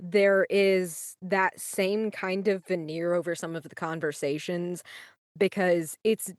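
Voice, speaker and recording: Female, slightly low pitch, dry recording, casual roleplay player. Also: fairly even intonation, slow, no room sound. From United States. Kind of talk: casual improvised game chat.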